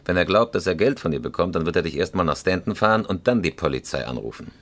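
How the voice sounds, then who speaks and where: A man's voice: sits low, lively intonation, quick pace, a bit bright. An actor playing a cool, commanding career criminal in a radio crime drama, terse and matter-of-fact as he gives orders. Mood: cool, calculating.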